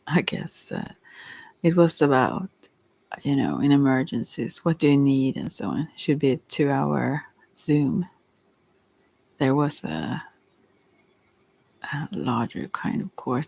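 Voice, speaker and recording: A woman hosts a soft-spoken tarot session in an ASMR manner. Her voice is noticeably creaky and sometimes whispery. She rambles in a relaxed, hesitant way, drifting into asides. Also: extremely low pitch, takes it slowly, long pauses. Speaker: host, tarot reader.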